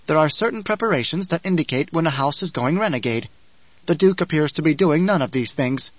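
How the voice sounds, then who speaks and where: Man as calculating schemer, audiobook, precise, measured, smug, higher male pitch, dry slightly dull sound. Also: expressive, dry recording. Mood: analytical. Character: coolly calculating male mentat adviser, sly and precise. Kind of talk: audiobook character acting.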